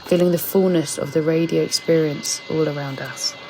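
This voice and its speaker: A woman reading reflective scripted narration in a measured, deliberate way. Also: low voice, fairly even intonation, very noisy. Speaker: project member and narrator. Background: music under the voice.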